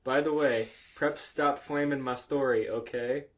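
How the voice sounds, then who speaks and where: A man's voice: mid-range voice, fairly even intonation, measured pace. A man co-hosting a comedy podcast, reading fan fiction aloud with casual, jokey asides and frequent laughter. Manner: laughs often, jokey, foul-mouthed.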